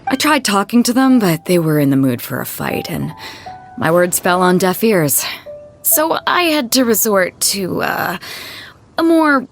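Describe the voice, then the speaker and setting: Easygoing female sea captain for a game: hearty, informal, very lively intonation, music beneath. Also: medium pitch, measured pace. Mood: storytelling. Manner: breezy, informal, expressive. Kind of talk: video game voice acting.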